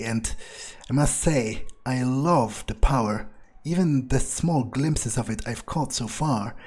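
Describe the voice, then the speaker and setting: Casting: man, husky mid-to-low voice, rambling in-character game player, musing aloud with crude asides. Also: darkish tone. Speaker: gaming video creator playing in character. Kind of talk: improvised in-character game commentary.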